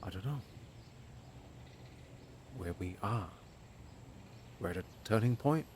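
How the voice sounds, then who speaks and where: A man's voice: fairly low pitch, animated, slow pace, darkish tone, a little hiss or hum. An actor playing a young male musician in a naturalistic audio drama, evasive and placid, answering in a terse, guarded way. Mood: uncertain, searching.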